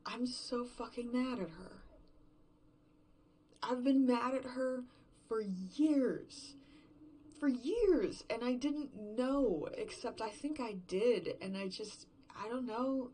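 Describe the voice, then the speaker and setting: A woman acting a distraught, unraveling survivor alone in an emptied world, in a halting, self-questioning, profane confessional monologue for a horror fiction podcast. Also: medium pitch, darkish tone, slight background noise, close to an everyday voice. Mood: bitter anger.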